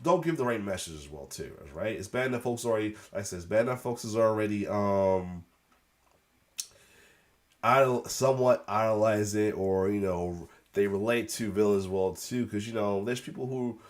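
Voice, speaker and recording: A man with a cold hosts a casual news reaction, his voice stuffed up and a little lower than most. He rambles, restarts sentences and coughs now and then. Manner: rambling, repeats "as well too", many restarts; occasional coughs. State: congested with a cold. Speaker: host.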